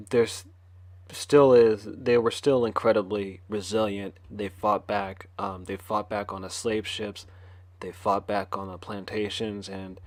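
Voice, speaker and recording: Guy giving a talk, monotone, stops and starts a lot, pretty dry sound. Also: lowish voice, dry recording. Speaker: conference speaker. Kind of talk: informal lecture.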